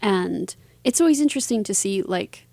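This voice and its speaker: A woman's voice: mid-range voice, animated, moderate pace, gravelly, dark tone, no echo. A woman speaking as an interview guest, answering in a casual, chatty, conversational way. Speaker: interview guest, author and publisher.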